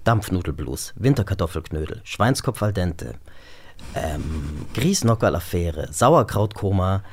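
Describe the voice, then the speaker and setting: A man's voice: deep voice, sing-song, measured pace, slight background noise. A man speaking as an actor guest in a casual interview.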